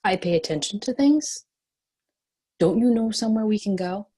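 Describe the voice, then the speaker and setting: A woman playing a determined, defiant and compassionate young heroine in a screenplay table read, with a slightly husky voice. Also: medium pitch, lively intonation, normal speed, dark tone.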